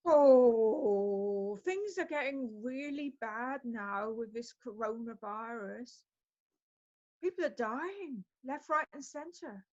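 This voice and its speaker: A woman's voice: on the high side, expressive, takes it slowly, darkish tone, dull audio. A woman playing a cheeky, gossipy older neighbour in an amateur comedy sketch. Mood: gloomy chatter.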